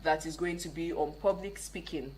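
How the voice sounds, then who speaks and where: A woman's voice: sits low, normal speed, a little mellow, low-quality recording. A woman with a Nigerian accent explaining things conversationally as a guest in a radio interview. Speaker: interview guest, tech programme organiser. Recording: clearly audible background noise.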